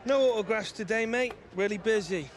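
An actor playing a cheeky, excitable young footballer in game cutscenes, chatty and matey. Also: male voice, high voice, brisk, a little hiss or hum, natural voice. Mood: teasing. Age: young adult. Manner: chatty, laughing, matey slang. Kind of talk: acted game cutscene dialogue.

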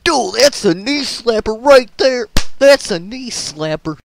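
Theatrical man, high-pitched and wildly animated. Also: a little slow, gravelly, heavy background noise. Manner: exaggerated, theatrical, swearing outbursts. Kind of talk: comedic game voice lines in put-on character voices.

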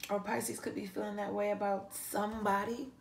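A woman giving a casual, improvised card reading to an online audience, talking in an animated, slangy way and swearing freely. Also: medium pitch, moderate pace, gravelly, a little hiss or hum. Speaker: tarot card reader, online host. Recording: music playing behind the voice. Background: pop and R&B songs playing.

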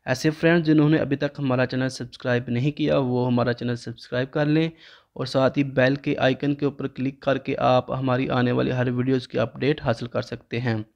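A man's voice: mid-range voice, fairly quick, creaky, muffled recording. A man, narrating a home cooking recipe step by step as the channel host. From Pakistan. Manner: steady step-by-step instructional tone.

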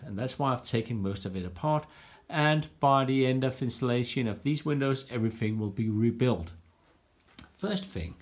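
A man giving a frank, self-deprecating walkthrough of his home build, filming on a handheld phone in a home workshop room. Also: on the high side. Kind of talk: casual walkthrough vlog. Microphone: handheld phone camera.

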